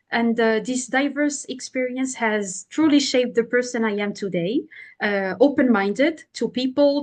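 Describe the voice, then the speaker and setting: Woman, French accent, fairly high voice, measured, filler-heavy, interview guest. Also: a little mellow. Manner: measured, explains in simple words with many fillers. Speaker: guest consultant. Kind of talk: podcast interview.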